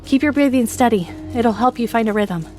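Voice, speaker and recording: A woman voicing an annoyed, bossy young female student in an audio drama, brisk and sarcastic but helpful. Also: fairly high pitch, darkish tone, soft background music, voice pushed up, close to an everyday voice. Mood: firm, instructing.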